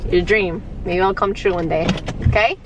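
A woman's voice: on the low side, fairly even intonation, moderate pace, highs cut off. A young woman, a vlogger mom, chatting playfully with her child while she drives, firing off teasing questions. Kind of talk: casual chat with her child while driving. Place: inside a car. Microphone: camera mounted in the car, a little distant. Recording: distant mic with car road noise.

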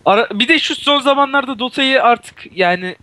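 A man's voice: very high-pitched, moderate pace, sharp tone. A man chatting casually as a guest in a gaming video, in a hesitant, stumbling way that often corrects itself. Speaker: friend and guest co-commentator. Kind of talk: casual chat during a game.

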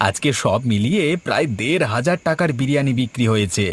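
A man's voice: mid-range voice, lively intonation, fast talker, faint music behind, natural voice. A man voicing a humble, eager village eatery owner in a cartoon story. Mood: content. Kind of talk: Bengali cartoon story dialogue.

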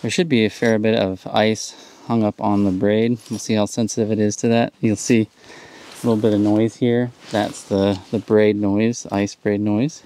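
A man in his thirties on a frozen lake outdoors, explaining fishing electronics in a relaxed, casual demonstration. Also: on the low side, normal speed, slight background noise. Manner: explanatory, relaxed, upbeat when catching fish. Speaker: fishing channel host.

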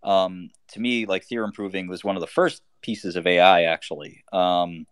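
Low-voiced man rambling on a call. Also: steady tone, moderate pace, fairly bright tone, clear, resonant tone, dry recording. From Albania. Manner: rambling, lots of fillers and restarts. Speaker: host. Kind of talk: casual live audio chat.

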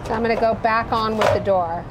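A woman chef demonstrating a recipe at an outdoor kitchen, explaining each step in a relaxed way with a few fillers. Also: a little high, a little fast. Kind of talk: cooking demonstration. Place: outdoor kitchen showroom patio. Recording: noisy outdoor recording.

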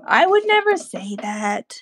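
A woman with a gravelly voice chatting casually and joking while drawing at home. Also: fairly high pitch, very animated, measured pace. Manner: joking, playful, laughs often. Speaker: artist co-host.

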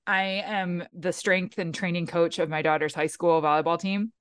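A woman's voice: fairly low pitch, fairly even intonation, clean tone, dry recording, clean studio sound. A woman in her forties hosting a fitness podcast, talking in an upbeat, enthusiastic way. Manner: upbeat, enthusiastic, fast bursts. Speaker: podcast host, fitness coach. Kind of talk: casual podcast chat.

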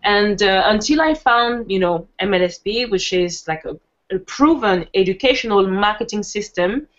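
A woman's voice: mid-range voice, animated, normal speed. A woman with a French accent giving a casual online presentation, chatty with lots of fillers and restarts. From France. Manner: chatty, laughs easily, many fillers and restarts. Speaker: webinar presenter. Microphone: video call. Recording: muffled online call audio.

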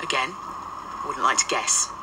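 A brusque, centuries-old ghost agent in a radio drama, with a very low female voice. She is dry, wry and impatient, heard a little way from the mic over clear background noise. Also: measured pace, distant mic. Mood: dry briefing.

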